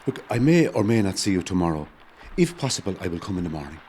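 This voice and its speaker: A man's voice: low voice, very animated, quick pace, darkish tone, slight background noise. An actor with an Irish accent playing a weary, driven rebel commander and family man in an audio drama. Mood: subdued, hurried.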